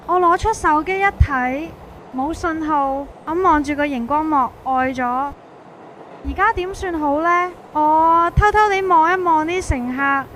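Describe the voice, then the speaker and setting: Female narrator, Hong Kong Cantonese, extremely high voice, colloquial dramatized suspense storytelling over light ambient noise. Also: a bit bright. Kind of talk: first-person scripted suspense narration.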